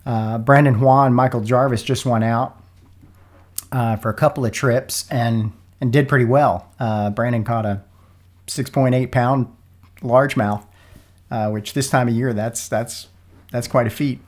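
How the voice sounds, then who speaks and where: A man's voice: medium pitch, steady tone, unhurried. A man hosting a podcast in a casual, folksy way, sounding a little froggy from a cold. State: froggy, getting over a cold. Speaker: podcast host. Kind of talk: casual sponsor interview podcast.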